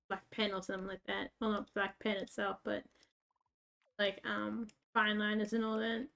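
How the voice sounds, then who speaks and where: A woman with a rural Australian accent, chatting casually while playing a game, rambling with lots of fillers and joking about herself. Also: medium pitch. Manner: rambling, filler-heavy, self-mocking. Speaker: gaming YouTuber. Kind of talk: casual gameplay chat.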